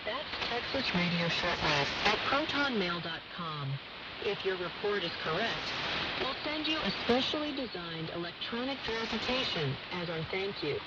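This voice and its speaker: A woman's voice: medium pitch, lively intonation, moderate pace. Woman announcer through very noisy shortwave radio. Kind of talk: scripted radio program sign-off announcement. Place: heard over an indoor shortwave receiver. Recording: noisy, muffled, distant shortwave radio reception. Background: loud static and noise.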